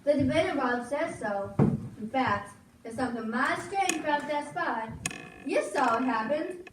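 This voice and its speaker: A woman's voice: high-pitched, expressive, measured pace. A young woman playing a superstitious, meddling fortune teller in an amateur Western stage play. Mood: ominous, chiding. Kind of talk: projected amateur stage acting. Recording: echoey room from far off, noisy.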